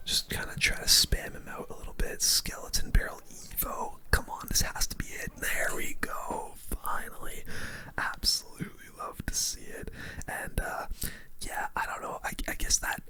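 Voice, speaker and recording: An ASMR gaming host giving casual play-by-play commentary in a hushed, breathy, unvoiced whisper with gamer slang. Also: normal speed. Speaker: gaming video host playing a card battle game.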